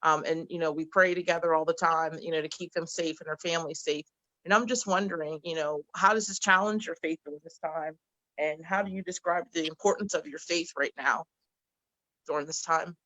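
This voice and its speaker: A woman's voice: low voice, fairly even intonation, a little fast, sharp tone, no room sound, poor audio. Chatty woman host moderating a panel. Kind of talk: moderating a panel on a video call. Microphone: video call.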